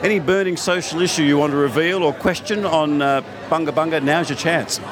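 Fast-talking host, slightly high voice, wry vox-pop patter, loud crowd behind. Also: male voice. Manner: wry, teasing. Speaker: podcast host roaming interviewer. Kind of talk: casual vox-pop interviewing. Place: pub venue among fans.

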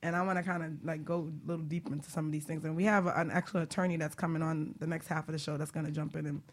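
A woman hosting a talk show and chatting casually with a guest in an informal, expressive, slangy way. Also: sits low, very fast. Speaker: talk show host and business coach. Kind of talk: casual interview chat.

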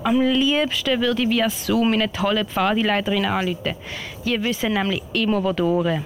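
A woman's voice: medium pitch, steady tone, normal speed, background noise, lightly acted. A woman with a Swiss German accent playing an earnest, hesitant little scout girl in a children's radio play. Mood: pondering. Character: plucky, naive little scout girl on a quest.

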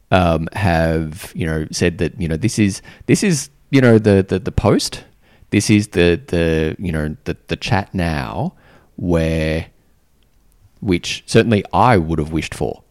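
A man hosting a podcast interview, speaking gently and haltingly with many fillers and restarts. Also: deep voice, clean tone, no echo, studio quality. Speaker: podcast host. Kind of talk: casual interview. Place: Canberra.

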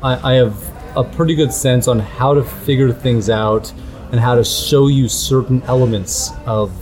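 A man from Canada talks slowly through his thoughts in a relaxed, warm voice, often restarting mid-phrase. Faint music sits quietly behind him. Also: medium pitch. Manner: measured, reflective, with many restarts. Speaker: guest, ethnographer. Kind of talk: conversational interview.

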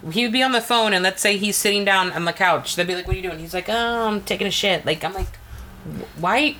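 A woman's voice: medium pitch, fast, noisy background. A woman co-hosting a podcast in casual chat, blunt and outspoken, swearing casually. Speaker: podcast co-host.

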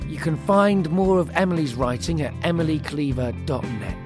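A man's voice: fairly high pitch, animated, normal speed. Male podcast host over guitar music. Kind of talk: scripted podcast narration. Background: plucked guitar music.

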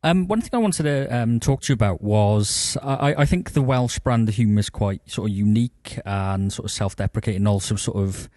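A man's voice: on the low side, fairly quick, hardly any pauses, warm tone, no room sound, clean studio sound. A man interviewing a guest, asking a question in a hesitant, thinking-aloud way.

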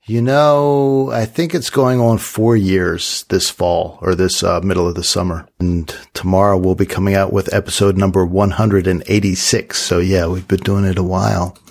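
A man's voice: sits low, moderate pace, gravelly, few pauses. A man hosting a podcast, chatting casually and laughing easily with his guest. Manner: friendly, laughs easily, relaxed. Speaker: podcast host. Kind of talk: casual interview chat.

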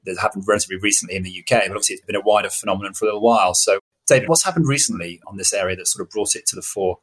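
A man's voice: on the low side, rapid-fire, husky, poor audio. A man hosting a podcast in a conversational interview style. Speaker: podcast host.

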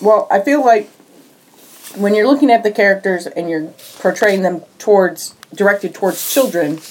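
A woman's voice: mid-range voice, moderate pace, darkish tone, a little hiss or hum, low-quality recording. A young woman co-hosting a casual podcast chat, earnestly talking through her views. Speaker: podcast co-host.

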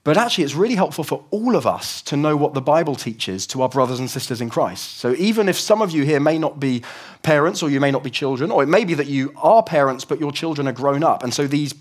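Croaky young man preaching a sermon. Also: a little high, lively intonation, quick pace, hardly any pauses. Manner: conversational, explanatory, with frequent restarts. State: croaky from a cold. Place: church service.

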